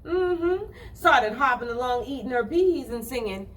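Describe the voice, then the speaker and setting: A woman telling a children's story with deliberate phrasing, addressing young listeners directly. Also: high-pitched, lively intonation, a bit bright, recorded from a distance.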